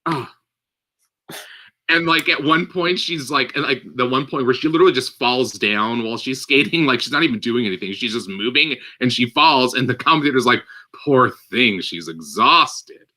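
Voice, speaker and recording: A man co-hosting a casual movie podcast, telling stories in an animated way with lots of filler words. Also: medium pitch, moderate pace. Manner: animated storytelling with many "like" fillers. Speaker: podcast co-host. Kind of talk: casual chat.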